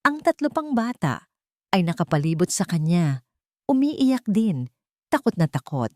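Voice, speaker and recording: Expressive low-voiced Filipino woman narrating a story. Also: unhurried, dry recording, clean studio sound. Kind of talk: dramatic scripted narration of a romance story.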